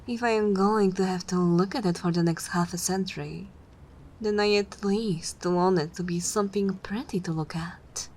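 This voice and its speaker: A woman playing a haughty, regal older vampire mistress in an ASMR roleplay, speaking in an imperious, measured way. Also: on the low side, steady tone, darkish tone, slight background noise, lightly acted. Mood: dry, teasing. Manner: imperious, measured, breathy near-whispers.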